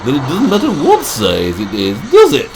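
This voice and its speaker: A man's voice: mid-range voice, huge pitch swings, normal speed, a little hiss or hum, poor audio. Man putting on a swooping, fretful goose sidekick. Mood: worried. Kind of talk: comic sci-fi audio drama.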